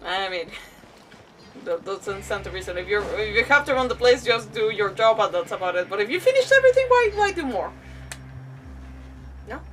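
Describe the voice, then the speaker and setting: A woman's voice: medium pitch, sing-song, normal speed, pauses often, fairly bright tone, recorded from a distance, a little hiss or hum. A woman chatting casually and playfully as she livestreams a game. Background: faint game music.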